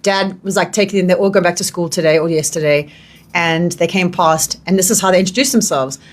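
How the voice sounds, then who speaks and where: A woman's voice: lowish voice, fairly quick. A woman with a South African accent chatting casually as a radio interviewer, with short questions and reactions.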